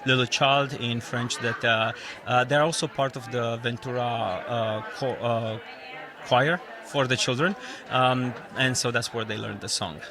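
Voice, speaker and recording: A middle-aged man with a Romanian accent speaks earnestly, pausing often on "uh" as he searches for words. His voice sits at an ordinary pitch for a man. Chatter from a crowd at an outdoor event fills the background. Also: fairly even intonation. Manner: earnest, hesitant with many "uh" fillers. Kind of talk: event interview. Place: outdoor community holiday event.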